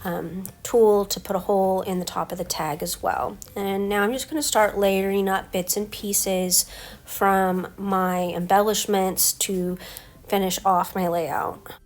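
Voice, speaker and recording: A middle-aged woman with a stuffy head cold, talking through her scrapbook layout step by step in a relaxed voice-over. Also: medium pitch, unhurried. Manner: relaxed, explains each step as she works. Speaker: scrapbooking crafter. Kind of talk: casual craft voice-over.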